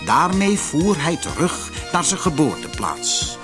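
A male storyteller narrates a fairytale from a script with very animated intonation. His voice is fairly high for a man, and there is faint music in the recording. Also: unhurried. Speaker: audiobook narrator.

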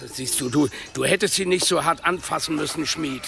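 An actor playing a reasonable village mayor who placates and calms others in a radio drama. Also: male voice, fairly high pitch, normal speed, gravelly. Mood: alarmed, calming. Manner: placating, pragmatic. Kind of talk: German radio drama acting.

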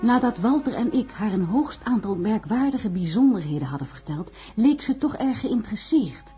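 A woman's voice: on the high side, animated, moderate pace, warm tone, lo-fi sound, faint music behind, natural voice. An actress playing an earnest, worried woman who narrates and speaks in a Dutch science-fiction radio drama. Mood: earnest, narrating. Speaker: narrator and lead character in a radio play.

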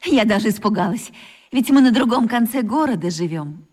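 A woman's voice: fairly high pitch, lively intonation, fairly quick, a little mellow, husky. An actress playing a chatty, coquettish mother with affected, fussy politeness in a radio play. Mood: sociable, gushing.

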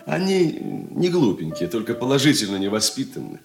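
An actor playing an eager young bridegroom in a radio drama, speaking in an ingratiating, tender, stage-trained manner. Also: male voice, medium pitch, big pitch swings, a little fast, gravelly, soft background music. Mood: earnest. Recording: roomy echo, faint background noise.

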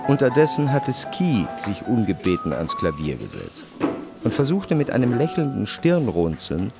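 An actor playing a reflective first-person literary narrator in a radio play, measured and introspective. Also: male voice, mid-range voice, wildly swooping pitch, vocal fry. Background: music.

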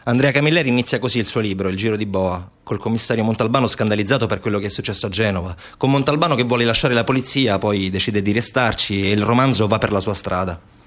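A man performing a theatrical narrative monologue as a stage storyteller, deliberate and expressive. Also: on the low side.

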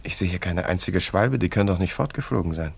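A man's voice: deep voice, expressive, fast, vocal fry, breathy edge, exaggerated voice. A man acting in a German radio play as a curious, friendly young travelling painter, polite and full of wonder. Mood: puzzled, curious.

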